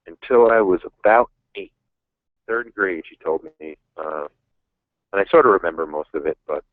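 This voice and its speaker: Deep-voiced man on a phone line. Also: no room sound. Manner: frank, self-deprecating, rambling. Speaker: caller. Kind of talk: casual chat.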